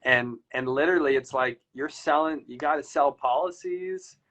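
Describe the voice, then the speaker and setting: A man's voice: mid-range voice, normal speed, fairly bright tone. A man hosting a casual chat, talking loosely and rambling with lots of fillers. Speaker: podcast host. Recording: slightly dull lo-fi audio.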